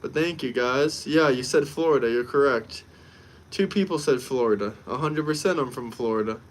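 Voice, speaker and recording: A man's voice: medium pitch, moderate pace. A man from the United States talking casually on a live stream, brash and emphatic, often repeating himself.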